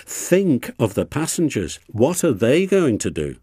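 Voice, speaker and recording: Man doing a stern kindly senior steam engine, storybook style, lively pitch. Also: slow, dry recording, studio quality, heavily acted. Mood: severe. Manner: severe but reassuring.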